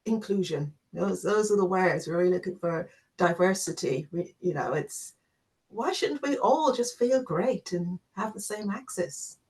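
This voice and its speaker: A woman's voice: fairly low pitch, expressive, measured pace, a little mellow. A woman co-hosting an informal chat, listening closely and responding in an affirming, reflective way. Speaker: co-host.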